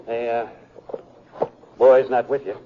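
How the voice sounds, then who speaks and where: A man's voice: mid-range voice, little pitch movement, takes it slowly, natural voice. An American man playing a weary, troubled sheriff in a radio western, plain-spoken and folksy. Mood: businesslike. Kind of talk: 1950s radio western acting. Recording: muffled 1950s radio recording, faint noise.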